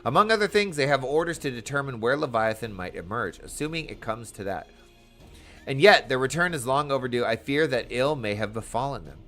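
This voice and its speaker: A man's voice: medium pitch, lively intonation, fairly quick, a bit bright, soft background music, close to an everyday voice. A man voicing a stern, earnest garrison commander from a fantasy game, speaking in formal, archaic military language. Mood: grave, worried. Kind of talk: reading game dialogue aloud in character.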